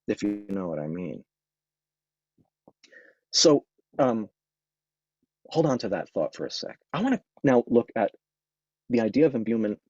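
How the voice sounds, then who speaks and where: Man, lecturer, slightly low voice, husky, has a cold, thoughtful, many restarts, dull dry recording. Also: darkish tone, no echo. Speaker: lecturer in Taoist medicine. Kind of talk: informal lecture. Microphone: microphone.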